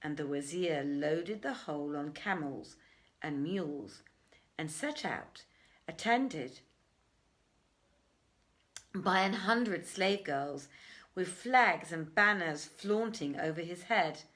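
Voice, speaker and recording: A woman reading an archaic literary tale aloud in a theatrical, measured way with deliberate pauses. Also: deep voice, lively intonation, fairly bright tone.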